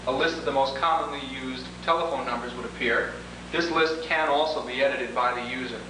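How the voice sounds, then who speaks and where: Distant, echoey man demonstrating software. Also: mid-range voice, brisk. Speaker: product demonstrator. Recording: distant, echoey, noisy 1980s demo tape with hum.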